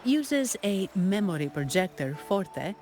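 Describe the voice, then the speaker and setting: A composed investigator in a fantasy game. She talks in a medium-pitched voice, formal and measured, analytical and courteous, with music clearly behind her. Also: very animated, darkish tone. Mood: serious, explaining. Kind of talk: video game character acting.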